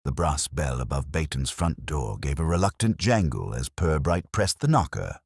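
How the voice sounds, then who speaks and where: Male narrator, very low voice, animated pitch, dry close sound, scripted detective story reading. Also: normal speed, slightly rough, no room sound. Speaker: narrator and reader.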